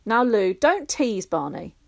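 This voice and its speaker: A kindly old grandmother, fussing gently over the children, with a slightly gravelly edge, as a woman reads her. Her exclamations rise with a lively lilt. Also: fairly high pitch, normal speed, exaggerated voice.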